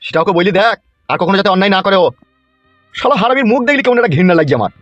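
A man plays a coarse, scolding middle-aged father in a comic village cartoon. He fires off lines very quickly at a high pitch. The sound is a little dull, over faint music. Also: very animated, fairly bright tone, dry recording. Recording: dull, muffled cartoon audio.

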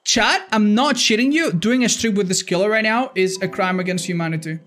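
A man in his thirties doing excitable live gameplay commentary for a chat, exclaiming and repeating phrases for emphasis. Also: high voice, brisk. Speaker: video game streamer. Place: indoor streaming room.

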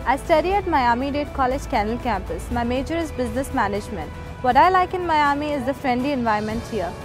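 A woman's voice: sits high, animated, quick pace, music in the background. A young woman from India co-hosting a student video about her college in a careful, recited delivery. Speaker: student co-host. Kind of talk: scripted student video presentation.

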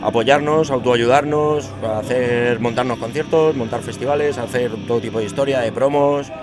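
A man's voice: mid-range voice, steady tone, normal speed, over music. Man, festival organizer, loose informal talk, handheld mic, venue hallway. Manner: lists things, loose and informal. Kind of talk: casual interview. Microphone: handheld interview mic.

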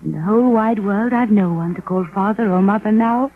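A woman's voice: mid-range voice, a little fast, slight background noise, close to an everyday voice. An actress playing a devoted, earnest young woman in love, tender and theatrical in 1940s radio style. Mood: earnest devotion. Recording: muffled, narrow vintage 1946 radio recording.

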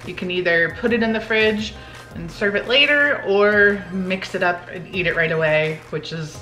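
A woman's voice: medium pitch, moderate pace, sharp tone, faint music behind. A woman in her forties chatting informally and giving recipe instructions in a home kitchen, with a teasing, casual manner. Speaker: home cooking vlog host.

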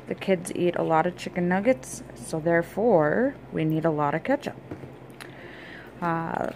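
Slow, gravelly-voiced woman listing groceries. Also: fairly low pitch, noisy background. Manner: chatty, listing items with fillers. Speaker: vlogger. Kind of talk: casual grocery haul show-and-tell. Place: home kitchen and dining room, indoors.

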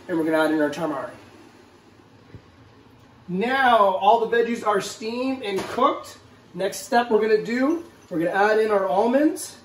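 Guy in his 40s doing a casual cooking vid, pretty high voice, mic far off in an echoey kitchen. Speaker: cooking show host. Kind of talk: casual instructional cooking demonstration to camera. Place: home kitchen, indoors. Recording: roomy, distant mic, faint kitchen noise.

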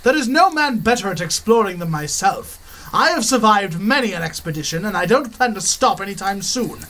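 Man playing a high-voiced pompous adventurer, boastful, theatrical, old radio serial. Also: animated, a little fast, sharp tone. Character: arrogant, scheming male explorer. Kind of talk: 1930s radio serial acting.

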